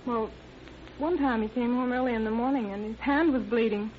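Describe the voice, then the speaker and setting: An actress playing a nervous, earnest, put-upon wife who begins hesitantly, in an old-time radio crime drama. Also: female voice, fairly high pitch, fairly quick, close to an everyday voice. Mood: earnest, uneasy. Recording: tinny, noisy vintage radio recording.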